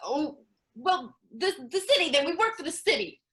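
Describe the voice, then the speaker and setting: A woman's voice: high voice, big pitch swings, normal speed, sharp tone, heavily acted. A woman playing a naive, eager, childlike sidekick in a comic stage play, guileless and a bit hesitant. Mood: awkward.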